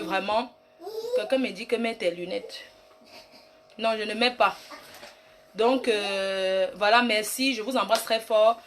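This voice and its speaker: A woman's voice: mid-range voice, noisy background, voice pushed down, lightly acted. A woman playing a brash, boastful self-styled queen mother in a rambling comic monologue. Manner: bossy, rambling, mock-grand, trash-talking. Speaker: comic online personality. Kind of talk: comic live-stream monologue.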